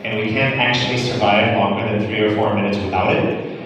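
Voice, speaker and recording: A man's voice: fairly low pitch, fairly even intonation, brisk. A man from Indonesia, a startup founder speaking on a panel, fluent and reflective with many fillers. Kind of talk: panel talk. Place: large event hall. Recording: echoey hall from far off, noisy.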